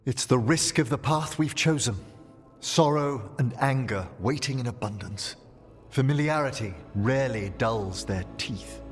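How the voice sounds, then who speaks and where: An actor playing a genteel, philosophical scholar with a formal, measured manner in a fantasy game. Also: male voice, mid-range voice, slightly rough, big-room sound, faint music behind. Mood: solemn, courteous. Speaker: video game character actor. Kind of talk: dramatic fantasy game dialogue.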